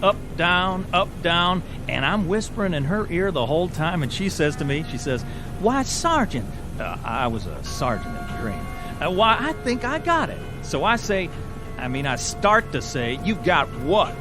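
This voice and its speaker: A man's voice: fairly high pitch, big pitch swings, moderate pace, music in the background. An American man acting in a radio drama as an irritable, cynical corporal with a sarcastic, blustery manner. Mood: boastful, leering.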